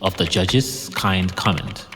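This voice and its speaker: A man's voice: deep voice, slow, long reverb tail. Male narrator over light music. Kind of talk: scripted story narration.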